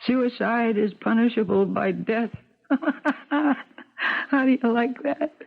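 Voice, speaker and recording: An actress in a 1970s radio drama playing a despairing wife, dramatic and self-reproachful, with a dryly sarcastic edge. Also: female voice, a little high, slow pace, gravelly, heavily acted. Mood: bitterly sardonic. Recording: noisy, phone-like old radio recording.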